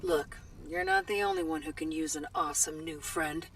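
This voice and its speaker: An actress playing a sly, warm woman arms trader in a video game, with playful, theatrical phrasing. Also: on the low side, huge pitch swings, moderate pace, vocal fry, a little hiss or hum, full character voice. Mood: upbeat, salesy. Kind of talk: video game cutscene acting.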